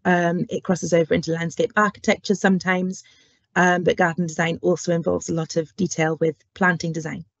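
A woman's voice: on the low side, gravelly, dry recording. A woman horticulture lecturer giving an online careers talk, speaking through a cold and cough with many ums. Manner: frequent ums, friendly explanatory. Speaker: horticulture lecturer and curriculum lead. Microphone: webcam or headset on video call. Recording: dull lo-fi video call audio.

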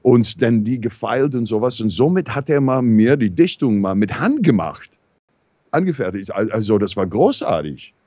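American man telling lively, rambling anecdotes. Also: medium pitch, moderate pace, no room sound, clean studio sound. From New York, USA. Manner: rambling, animated, many fillers and restarts. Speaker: talk show regular. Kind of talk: casual storytelling in a discussion.

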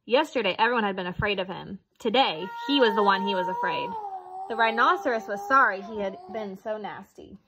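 A woman's voice: medium pitch, sing-song, fairly quick, noisy background. A woman reading a children's picture book aloud as narrator. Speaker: storybook reader.

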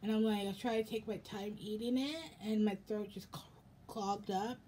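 A young American woman chatting casually for a haul vlog in a rambling, halting way, with a slightly raspy voice. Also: medium pitch, fairly even intonation, mellow, a little hiss or hum. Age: young adult. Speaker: vlogger. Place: indoors in a home room.

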